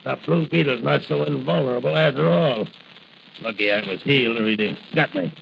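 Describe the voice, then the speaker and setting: Gravelly gangster henchman. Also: medium pitch, a little fast. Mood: smug. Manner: slangy gangster talk. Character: thuggish saboteur henchman, smug and crooked. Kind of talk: 1940s radio acting. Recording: muffled old radio recording, faint noise.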